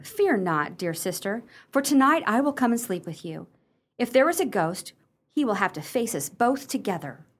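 An actress plays a practical, skeptical sister in a radio drama. Her voice is fairly high and highly animated, with brisk, bossy gentility and a dry edge. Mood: reassuring. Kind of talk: radio play acting.

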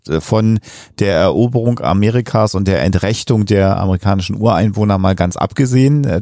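A man hosting a casual podcast interview, with halting, rambling phrasing. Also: lowish voice, darkish tone, no room sound, clean studio sound.

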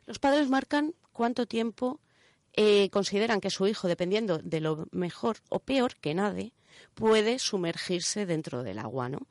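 A woman's voice: medium pitch, lively intonation, a little slow, a little mellow, dry recording, clean studio sound. A woman with an accent from Spain presenting a segment in casual radio chat, colloquial and often checking in with short tag questions.

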